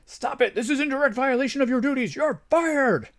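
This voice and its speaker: A reader voicing a furious male doctor who shouts orders at a caretaker. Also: high voice, fairly quick, voice pushed up. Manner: shouting, outraged.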